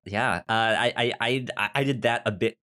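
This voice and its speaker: Low-voiced American man with a stutter. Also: pushed and bright. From United States. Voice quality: stutter, repeating syllables and word starts. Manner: halting, informal. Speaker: podcast host. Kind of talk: casual discussion of TV shows.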